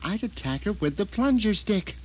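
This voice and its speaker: A man performing a bitter, vindictive captive who narrates his own ordeal in a melodramatic, sardonic audio drama monologue with deliberate phrasing. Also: high-pitched, big pitch swings, noisy background. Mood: baffled, angry.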